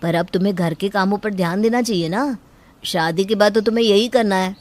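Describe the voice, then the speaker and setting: A woman voicing an evasive young male engineer in an audio story, measured and mildly insistent with his fiancée. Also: medium pitch, a little mellow, close to an everyday voice. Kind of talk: acted Hindi audio story.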